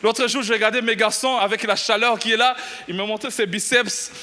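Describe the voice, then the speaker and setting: Pastor guy from burundi preaching in church, really high bright voice, gets loud and excited. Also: brisk. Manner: animated, exclaiming and shouting at peaks. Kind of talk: sermon.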